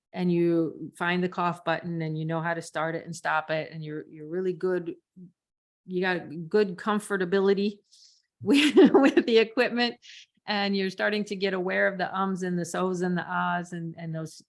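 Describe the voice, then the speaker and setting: Female podcast coach, slightly low voice, conversational teaching delivery with demonstrated examples. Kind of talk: conversational teaching on speaking technique.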